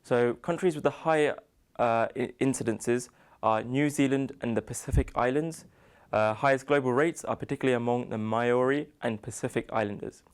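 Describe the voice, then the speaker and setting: A young British man in his twenties with a very clean, smooth voice at a medium pitch. He delivers an informational student presentation, a little haltingly. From United Kingdom. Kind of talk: slide presentation on a medical topic.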